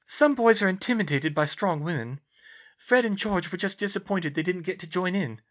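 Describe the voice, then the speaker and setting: An earnest, brainy teenage girl from a storybook, acted out by a man. The voice sits high and moves about a lot, with a flustered, babbling eagerness. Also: lively intonation, moderate pace, a bit bright. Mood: candid, indignant. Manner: stammering, babbling, indignant bursts.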